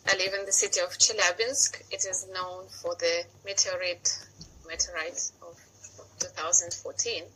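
A woman's voice: lowish voice, steady tone, moderate pace, sharp tone, slight background noise, rough recording. A woman in her forties from Russia with a Russian accent, a podcast guest chatting casually and sometimes searching for words. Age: 40s.

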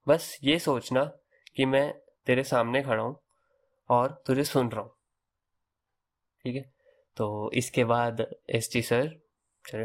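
A young man hosting a podcast, telling a story in a loose, conversational way with English words mixed in. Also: mid-range voice. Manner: conversational, Hinglish fillers and restarts. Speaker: podcast host. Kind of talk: casual storytelling.

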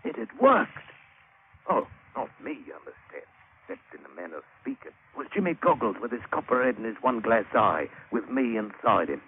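A man's voice: on the low side, very animated, fairly quick, narrow, tinny audio. A man acting a plain-spoken British sailor who spins a wry adventure yarn in colloquial, dramatic 1950s radio style. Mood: amazed, wry. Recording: thin, hissy old radio broadcast.

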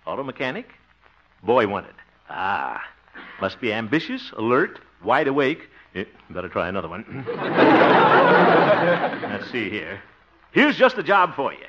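A man's voice: mid-range voice, fairly bright tone. An actor playing a blustery, self-satisfied, jovial man in 1950s radio comedy, theatrical and full of chuckles and exclamations. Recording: muffled, noisy vintage radio recording.

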